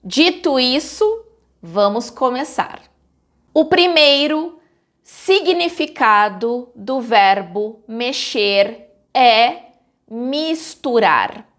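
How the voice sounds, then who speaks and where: A Brazilian woman with a Brazilian Portuguese accent, hosting her channel and teaching the meanings of a common verb in slow, clearly articulated scripted narration. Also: fairly high pitch, animated, a bit bright.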